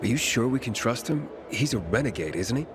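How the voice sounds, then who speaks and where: An actor playing a weary, determined wanderer who is the hero of a video game, speaking tersely and guardedly. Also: male voice, mid-range voice, lively intonation, a little fast, lightly acted. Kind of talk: video game cutscene acting.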